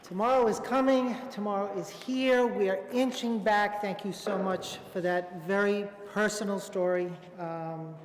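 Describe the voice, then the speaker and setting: A man from Brooklyn hosting a theater storytelling show, relaxed and joking, with filler ums. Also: sits high, unhurried, few pauses, noisy background. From Brooklyn, New York. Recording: echoey hall, faint ambience.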